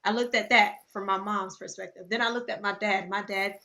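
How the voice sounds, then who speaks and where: A woman, co-hosting a podcast in lively casual chat. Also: mid-range voice, creaky. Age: 20s. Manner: animated storytelling, quick asides, laughs often. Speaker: podcast co-host.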